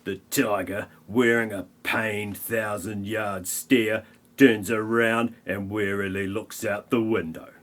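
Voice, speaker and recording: A man's voice: lowish voice, level tone, slow. A man giving scripted comic narration for an audio play, with a mock-dramatic storytelling manner.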